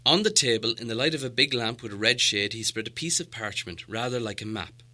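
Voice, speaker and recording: A man's voice: mid-range voice, brisk, pushed and bright. A man reading a storybook aloud as an audiobook narrator, in a dramatic storytelling style. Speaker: audiobook reader.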